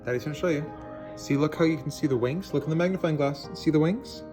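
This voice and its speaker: Dad talking under loud music. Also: male voice, on the high side, quick pace. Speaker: father showing something to his child. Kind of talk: casual talk to a young child. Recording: loud guitar and piano music behind.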